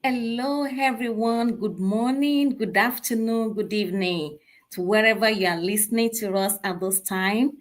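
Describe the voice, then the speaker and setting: A woman, a guest on an online show, speaking in a measured, deliberate way. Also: on the high side. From Nigeria.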